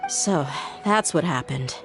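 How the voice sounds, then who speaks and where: A woman's voice: low-pitched, expressive, takes it slowly, over music, full character voice. An actress playing a proud, principled young woman mercenary, speaking firmly and bluntly in scripted game dialogue. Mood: questioning. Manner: firm, blunt. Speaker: video game voice actor.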